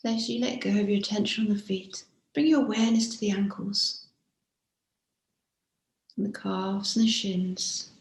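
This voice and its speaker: Gentle, creaky-voiced woman guiding meditation. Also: mid-range voice, fairly even intonation. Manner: gentle, unhurried, with frequent pauses. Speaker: meditation teacher. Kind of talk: guided body scan meditation. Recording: roomy, echoing room sound.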